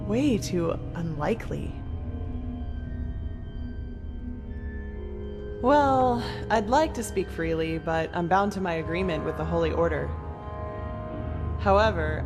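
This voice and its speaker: A woman's voice: on the low side, natural voice. An actress playing a blunt, short-fused woman adventurer in a video game, talking in a casual, sardonic, foul-mouthed way. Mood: thoughtful, guarded. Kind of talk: video game dialogue acting. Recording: reverberant hall echo, music underneath. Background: game music.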